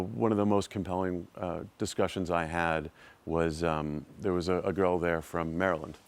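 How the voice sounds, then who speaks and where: Deep-voiced American man in his forties. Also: gravelly. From Massachusetts, United States. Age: 40s. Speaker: trip participant giving a testimonial. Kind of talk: unscripted personal account.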